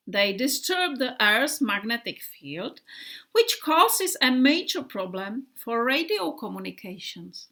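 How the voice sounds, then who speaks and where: A woman's voice: high voice, pushed and bright, full character voice. A woman voicing an earnest male bomber plane in a storybook, vividly telling his friends about a dream. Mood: explaining.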